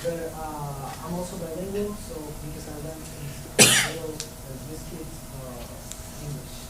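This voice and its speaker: A high school student from Puerto Rico, reading a children's story aloud, hesitant at first, then lively. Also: unhurried. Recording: echoey room, distant mic, background noise.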